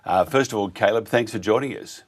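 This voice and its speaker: Hoarse Australian radio host ranting. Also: male voice, fairly low pitch, brisk. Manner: ranting, blunt, mocking put-on voices. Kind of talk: live talk radio.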